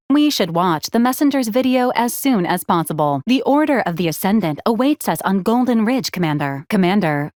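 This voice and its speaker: A woman acts out a female robot ship's assistant for a video game, dutiful and literal to a fault. She delivers formal, clipped announcements in a cool deadpan, at a medium pitch, in a very dry recording. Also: no room sound, lightly acted. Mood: politely insistent. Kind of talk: scripted video game voice acting.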